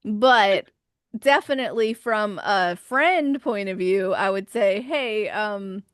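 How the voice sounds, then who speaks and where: A woman's voice: medium pitch, slow, clear, resonant tone, no room sound. Woman host, dry close sound. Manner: supportive, laughs, says "yeah" often. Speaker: podcast host. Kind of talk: casual interview chat.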